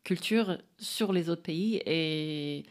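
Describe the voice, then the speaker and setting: Female guest, middle-aged, Australian, native English with accented speech, lowish voice, conversational, wry and understated. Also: very slow. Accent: Australian-English accent in French. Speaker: interview guest. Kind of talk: casual conversation.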